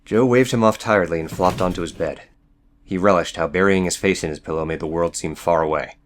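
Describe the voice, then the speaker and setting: A man's voice: sits low, fairly quick. A man reading scripted fiction as the narrator of an audiobook.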